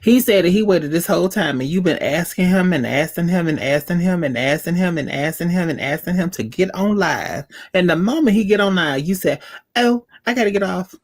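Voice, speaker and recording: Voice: medium pitch, lively intonation, a little fast. A guest on a live video chat, teasing and scolding in fun. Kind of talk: casual group chat.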